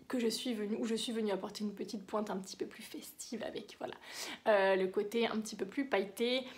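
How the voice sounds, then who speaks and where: Female beauty vlogger, fairly high voice, casual step-by-step tutorial delivery, chatty and self-correcting.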